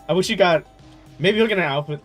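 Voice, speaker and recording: A young man giving casual, informal playthrough commentary as a game streamer, reacting aloud. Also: high-pitched. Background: faint game music.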